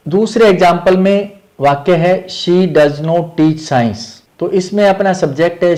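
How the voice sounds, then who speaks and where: A man's voice: a little high, mellow. A man with an Indian accent explaining grammar as a teacher, methodically repeating each rule step by step.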